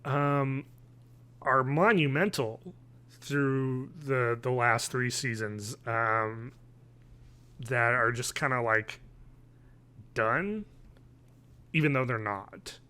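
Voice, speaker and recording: A man, chatting casually and haltingly as a podcast co-host from home. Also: mid-range voice, sharp tone, smooth. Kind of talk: casual review chat. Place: home living room, video call.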